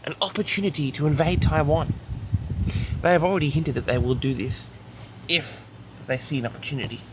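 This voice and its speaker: A man's voice: a little high, fairly even intonation, a little fast, background noise. A man delivering a mock-serious, grandiose monologue, repeatedly addressing his audience with self-important certainty. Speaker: host of a comedic audio log.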